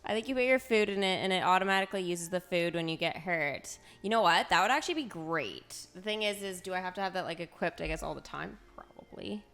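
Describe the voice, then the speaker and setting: A woman game streamer giving casual, unhurried commentary, thinking aloud as she plays. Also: on the low side, expressive, a bit bright.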